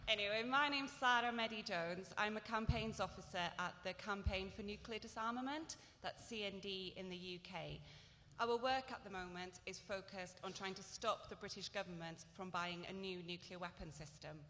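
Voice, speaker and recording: Welsh woman doing intros, brisk, echoey hall with a bit of hiss. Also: mid-range voice, pushed and bright. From Wales. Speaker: campaigns officer. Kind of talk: introducing speakers. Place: large hall. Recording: echoey hall, faint noise.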